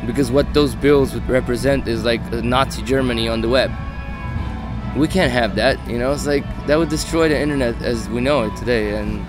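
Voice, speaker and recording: A man talks at an even middle pitch, rambling through filler words and blunt swearing as he makes his point. Music plays clearly behind him. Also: a little fast. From Slovenia. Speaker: electronic music artist, guest. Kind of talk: casual interview chat.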